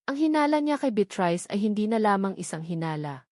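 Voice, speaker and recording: A woman's voice: medium pitch, big pitch swings, fairly quick, darkish tone, dry recording, studio quality. A woman with a Filipino accent reading a scripted story as a dramatic audiobook narrator.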